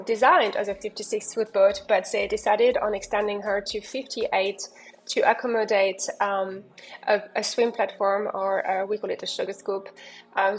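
A woman's voice: mid-range voice, breathy edge, poor audio. A woman giving an enthusiastic walkthrough of a sailing yacht as a broker, talking loosely with fillers and restarts. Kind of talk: walkthrough narration.

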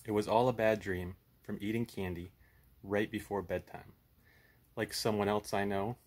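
Low, husky man reading bedtime horror. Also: steady tone, moderate pace, a little mellow. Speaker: puppet show host and storyteller. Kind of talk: horror story read-aloud with casual chat.

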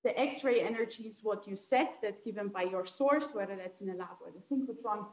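A woman's voice: mid-range voice, fairly quick. A woman from Austria, a native German speaker, giving a conversational academic seminar with informal, self-deprecating asides. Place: indoor seminar room with projection screen. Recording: echoey lecture room.

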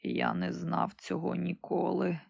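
A woman voicing a frightened old emperor on his deathbed in a storybook reading, crying out in slow, drawn-out pleas. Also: on the low side, big pitch swings, vocal fry, a little mellow, no room sound, studio quality, heavily acted. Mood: desperate. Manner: slow, drawn-out pleading cries.

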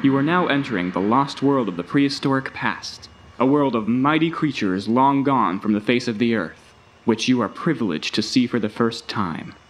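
A man's voice: mid-range voice, animated, normal speed, a little hiss or hum, lightly acted, poor audio. A man voicing a male park tour recording that welcomes visitors and explains the plants and animals. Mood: welcoming.

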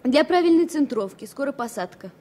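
An actress playing an earnest, composed but tender young airline stewardess in a Soviet radio drama. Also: female voice, high voice, lively intonation, a little fast, warm tone. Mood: polite, insistent. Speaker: actor in radio play. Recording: noisy old radio play recording.

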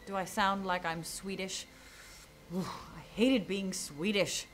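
Brief: actress as a flippant young spy, mid-range voice, faint room hum. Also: female voice, medium pitch, slow pace, close to an everyday voice. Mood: sassy. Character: sly young woman spy. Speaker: actor.

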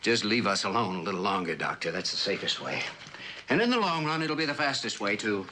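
An actor playing an earnest, stubborn male scientist in a mid-century television drama, speaking in a formal, measured, persuasive way. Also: fairly low pitch, very animated, pushed and bright, a little hiss or hum. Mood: reassuring. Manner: formal, measured, deliberate speechmaking delivery.

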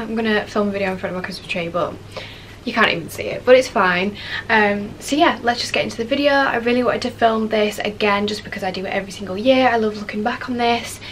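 A woman in her twenties from Leeds with a Yorkshire accent, chatting excitedly about her presents in a bedroom, a little sniffly from a cold and full of "um" and "like". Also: mid-range voice, fairly quick, a little hiss or hum, rough recording. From Leeds, West Yorkshire, England. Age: 20s. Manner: gushing, enthusiastic, many fillers like "um" and "like". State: has a cold, a bit sniffly. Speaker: lifestyle vlogger. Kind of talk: casual chatty haul video. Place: bedroom, indoors.

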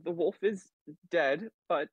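A man voicing a gentle, awkward, reassuring cat adventurer in a tabletop game. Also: on the high side, takes it slowly, slightly rough, no echo, dull audio, voice pushed up.

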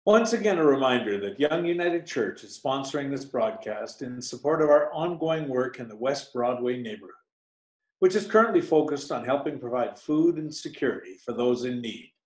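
A man's voice: mid-range voice, animated, moderate pace, a little mellow. An older man reading a scripted church appeal as an announcer. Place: indoor room at home. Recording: roomy, echoey computer mic.

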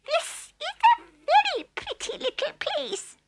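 A woman voicing a tiny, kind, eager female storybook mouse in a squeaky, exclaiming manner. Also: sing-song, a little slow, gravelly, heavily acted. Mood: curious, delighted. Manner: squeaky, wide-eyed exclaiming.

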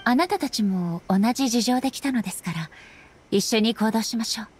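A woman playing a solemn, dignified female ruler in an anime game, speaking formally and with measured politeness. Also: fairly high pitch, expressive, a little hiss or hum, lightly acted. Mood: resolute. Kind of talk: anime game dialogue acting.

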